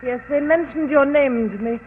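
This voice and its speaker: Actress as high-voiced deranged wife, old radio. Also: female voice, moderate pace, natural voice. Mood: vague, cool. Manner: dramatic, repetitive, dazed. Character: deranged murderous wife, eerily calm, breaking into hysteria. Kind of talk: 1940s radio mystery acting. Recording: tinny, noisy old 1940s radio broadcast.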